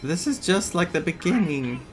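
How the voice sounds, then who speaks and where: A woman giving casual playthrough commentary as a gaming streamer, in an excitable, gushing manner. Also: on the low side, normal speed.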